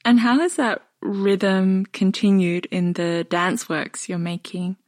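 A woman hosting a podcast, reading a calm introduction and asking measured interview questions. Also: medium pitch, no echo, studio quality. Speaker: podcast host, dance artist.

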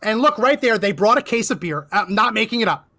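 A man co-hosting a political commentary show, animated and laughing often, saying he is losing his voice. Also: high-pitched, fairly quick. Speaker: co-host.

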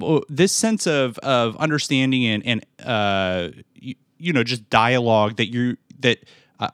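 A man hosting a podcast interview, asking questions in a halting way with repeated words. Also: mid-range voice, fairly bright tone, no room sound, clean studio sound. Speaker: podcast host.

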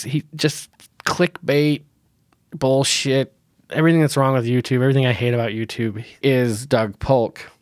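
A man's voice: medium pitch, no echo, studio quality. A man hosting a casual solo podcast, sounding nasal from a cold, blunt and opinionated and laughing often. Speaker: podcast host.

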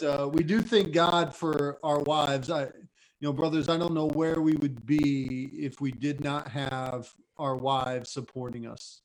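A man's voice: on the high side, rough recording. A man hosting the chat in an upbeat, jokey way, calling guests brother. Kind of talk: casual video-call chat.

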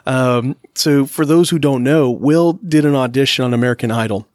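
A man hosting a podcast interview, enthusiastic and quick to laugh as he sets up questions for his guest. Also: medium pitch, darkish tone, no echo, studio quality. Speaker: podcast host.

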